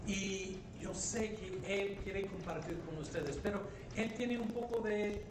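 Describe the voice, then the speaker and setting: A man's voice: high voice, fairly even intonation, moderate pace. A middle-aged man who is a bishop, a native English speaker giving a jovial conference talk with questions, mixing Spanish and English. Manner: jovial storyteller, asks "right?" often. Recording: echoey hall, distant mic, background noise.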